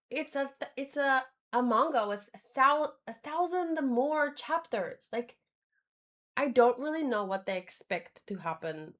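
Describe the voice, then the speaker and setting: A woman talking casually and informally as a livestreamer giving commentary, often reworking her sentences as she goes. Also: medium pitch. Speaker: streamer reacting to manga.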